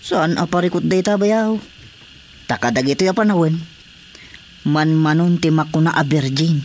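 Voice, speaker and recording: An actor playing an eager, hesitant young brother-in-law in an Ilocano radio comedy drama, with an Ilocano accent. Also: male voice, a little high, normal speed, lightly acted. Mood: smitten, pleading. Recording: faint background noise with crickets.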